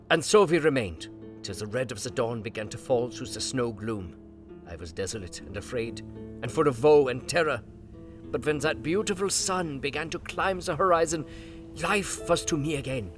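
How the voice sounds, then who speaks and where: An actor playing an earnest, God-fearing aging vampire hunter, narrating his diary solemnly in halting old-fashioned English. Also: mid-range voice, natural voice. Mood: desolate, then relieved. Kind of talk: dramatic diary narration in an audio drama. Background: guitar music.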